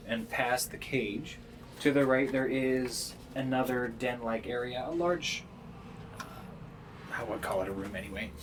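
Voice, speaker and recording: A man's voice: medium pitch, background noise. A man improvising narration as the game master of a tabletop game.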